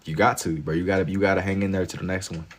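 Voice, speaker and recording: A man in his twenties with a very deep voice hosts a livestream and chats with viewers. He talks in relaxed slang and turns loud and laughing when chat teases him. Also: rapid-fire. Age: 20s. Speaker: livestream host. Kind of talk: casual chat with viewers while drawing.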